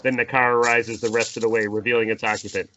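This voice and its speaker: A man's voice: medium pitch, level tone, quick pace, pushed and bright, muffled recording. A man narrating a screenplay at an informal table read, with a theatrical, playful delivery. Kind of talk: dramatic scripted narration, informal with asides.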